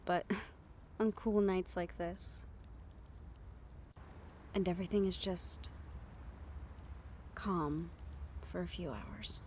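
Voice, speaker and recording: A woman playing a gentle, teasing but worried young siren in an audio roleplay, speaking softly and intimately to the listener. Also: on the low side, slight background noise. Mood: calm wistful.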